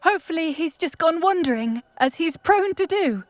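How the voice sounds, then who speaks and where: A woman's voice: extremely high pitch, expressive, moderate pace, dry recording, soft background music. An actress playing a tearful, fearful, newly widowed mother in a video game. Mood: anxious, tearful. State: tearful, close to crying. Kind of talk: video game character acting.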